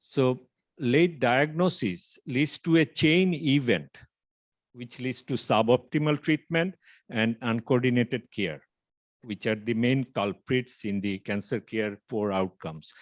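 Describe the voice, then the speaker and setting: A man in his fifties from Bangladesh, speaking, gives a measured, explanatory startup pitch over a video call with frequent filler pauses. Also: no room sound, studio quality. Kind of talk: online pitch presentation.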